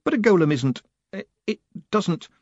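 A man voicing a flustered, nervous young postmaster who talks meekly with a jumpy, raised pitch. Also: lively intonation, normal speed, a little mellow, no echo, muffled recording. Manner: flustered, meek, pitch jumping high.

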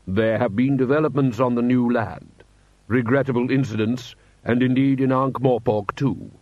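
A man's voice: medium pitch, fairly even intonation, no echo, muffled recording, lightly acted. Man acting a polished, courteous princely diplomat. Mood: grave, courteous. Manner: formal, polished, measured politeness.

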